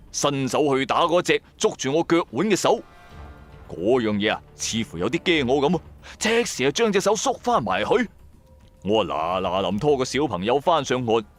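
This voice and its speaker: Cantonese man telling a lively, suspenseful ghost story. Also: mid-range voice. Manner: colloquial, dramatic, suspenseful storytelling. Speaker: storyteller, narrator of an audio drama. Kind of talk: first-person ghost story narration. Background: music.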